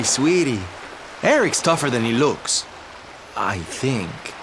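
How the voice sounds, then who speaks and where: Flamboyant showman hero, lively pitch. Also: background noise, full character voice. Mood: reassuring, chatty. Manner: campy, theatrical, full of endearments. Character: flamboyant, kindly adult male showman adventurer, campy and brave. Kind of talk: video game voice acting. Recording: loud game music under the voice.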